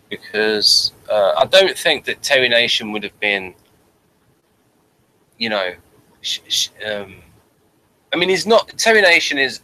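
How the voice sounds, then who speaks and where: A man with a bright, forceful voice of middling pitch talks through a review as co-host. His delivery is casual and unscripted. He often stumbles, repeats words and leaves thoughts unfinished. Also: poor audio. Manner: halting, repeats words and trails off mid-thought. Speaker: podcast co-host reviewing a TV series.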